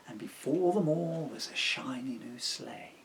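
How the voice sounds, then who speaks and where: A man reading his own rhyming children's book aloud as an expressive storyteller. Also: mid-range voice, unhurried, vocal fry, a little hiss or hum.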